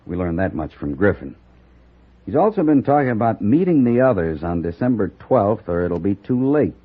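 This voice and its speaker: A man's voice: on the low side, sing-song, normal speed. A man playing a hearty, earnest army colonel in an old-time western radio drama, businesslike and persuasive. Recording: muffled old radio recording.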